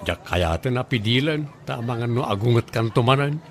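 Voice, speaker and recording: Man acting an animated Ilocano bachelor son. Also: mid-range voice, normal speed, some chatter behind, lightly acted. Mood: eager. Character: simple, excitable middle-aged bachelor son, comic suitor. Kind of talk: Ilocano radio comedy drama acting.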